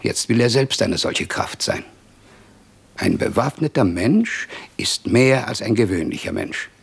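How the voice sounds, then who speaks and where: A man's voice: lowish voice, expressive, unhurried, gravelly, breathy edge, heavily acted. A man playing a garrulous, wryly lamenting older Russian medical man in a 1950s radio play, with chatty, rambling storytelling. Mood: musing, pointed.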